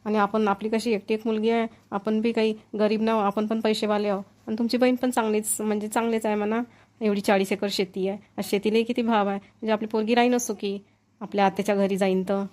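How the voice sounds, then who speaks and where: A woman's voice: mid-range voice, flat delivery, fast talker, a little mellow, natural voice. A woman playing an outspoken, chatty middle-aged village woman in a cartoon, emphatic and gossipy, with a Vidarbha Marathi accent. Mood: insistent. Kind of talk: amateur cartoon voice acting.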